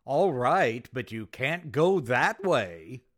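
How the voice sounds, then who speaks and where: An actor playing a sensible, wry best friend in an old-time radio sitcom, measured and dryly exasperated. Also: male voice, mid-range voice, very animated. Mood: calming.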